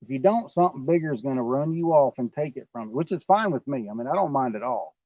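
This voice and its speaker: A man's voice: medium pitch, animated, fast talker, mellow, no room sound, muffled recording. Folksy man in his forties. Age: 40s. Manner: folksy, joking, coaxes the fish aloud. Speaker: fishing vlog host. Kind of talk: casual chat while night fishing. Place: outdoors on a lake at night.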